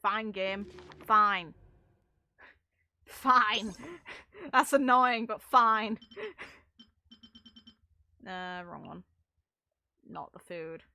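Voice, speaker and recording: A young woman with a bright, forceful voice at a medium pitch. She commentates on a game casually, rambling along in an informal, amused streamer's manner. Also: extremely slow, pauses often.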